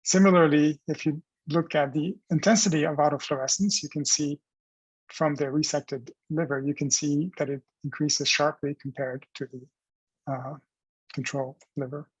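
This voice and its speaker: A man narrates a scientific presentation in a husky, somewhat higher-pitched voice. He explains things step by step with halting pauses mid-phrase. The sound is very dry, with almost no room echo. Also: little pitch movement.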